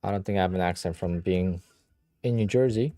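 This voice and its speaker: Man, Polish accent, low-pitched, blunt, casual stream chat, dry sound. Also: a little fast, mellow, dry recording. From Poland. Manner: blunt, offhand, distracted. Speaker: livestream host. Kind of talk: casual livestream chat answering viewer questions.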